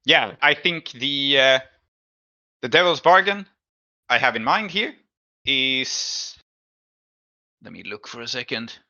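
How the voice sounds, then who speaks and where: Male game master: very sharp, forceful voice, explanatory narration, lo-fi mic. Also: medium pitch, animated, slow, pauses often. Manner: explanatory, checking in with players. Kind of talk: tabletop game narration and rules talk.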